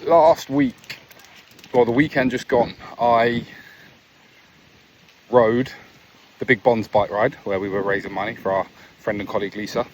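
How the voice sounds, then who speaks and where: A man's voice: medium pitch, lively intonation, measured pace, gravelly, pauses often, slight background noise. A man sharing earnest, reflective stories on an evening walk outdoors, talking casually with restarts. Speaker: vlogger. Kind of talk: casual motivational talk while walking. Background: faint outdoor ambience, a dog.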